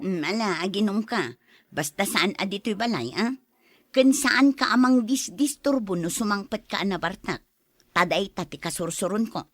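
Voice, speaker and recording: A woman's voice: mid-range voice, lively intonation, normal speed, no room sound, close to an everyday voice. A woman playing a bossy, nagging wife in an audio drama, scolding and ordering her drinker husband around. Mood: grudging, stern. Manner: scolding, commanding. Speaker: voice actor in a drama. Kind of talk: Ilocano audio drama acting.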